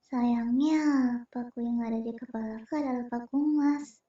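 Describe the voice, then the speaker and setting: A woman's voice: on the high side, steady tone, normal speed, mellow, dull audio, close to an everyday voice. A woman voicing an earnest female spirit plotting to free her kind in a comic dub, recorded in a roomy space. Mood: earnest, reassuring. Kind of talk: Indonesian comic dub acting. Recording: roomy, distant microphone.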